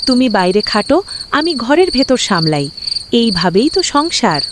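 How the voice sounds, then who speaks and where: A woman voicing a gentle, hopeful village mother who reassures her husband. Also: a little high, sing-song, a little slow. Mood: tender. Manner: soothing, reassuring. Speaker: cartoon voice actor. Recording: loud cricket and insect noise.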